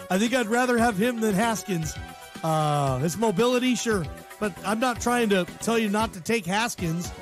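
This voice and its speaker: An American man with a notably high-pitched voice hosts sports talk radio. He comments casually and with strong opinions, using plenty of slang and repeating himself for emphasis. Faint music plays behind him. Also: moderate pace. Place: radio show.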